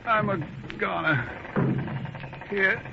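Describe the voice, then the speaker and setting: An actor playing a dying young soldier, resigned and brave, speaking in broken phrases in 1930s radio style. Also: male voice, sits high, gravelly, full character voice. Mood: weak, resigned. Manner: halting, broken delivery. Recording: muffled, noisy 1930s radio recording.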